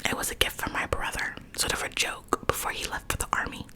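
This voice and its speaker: A woman's voice: quick pace, natural voice. A woman voicing a friendly, teasing young man in a whispered story reading. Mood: calm.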